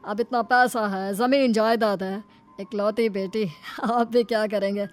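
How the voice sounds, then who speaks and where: A woman's voice: medium pitch, fairly even intonation, measured pace, soft background music, lightly acted. A woman voicing a greedy, ingratiating father of a groom, fussy and persuasive, in a moral story.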